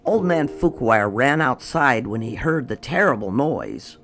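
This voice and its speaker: A storytime host reading a children's picture book aloud in an expressive, unhurried way. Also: medium pitch, soft background music.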